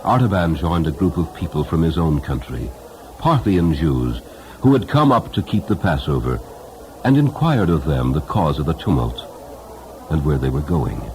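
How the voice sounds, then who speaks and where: A man narrating a story in a solemn, deliberate and expressive dramatic style. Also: very deep voice, slight background noise, poor audio. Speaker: narrator, audiobook reader.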